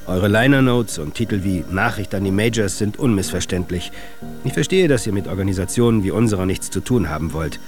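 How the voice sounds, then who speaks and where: Measured low-voiced male music manager played by an actor. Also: animated, natural voice. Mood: formal, self-justifying. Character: male music industry manager, reflective and self-justifying. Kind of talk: radio feature monologue and letter reading. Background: faint music.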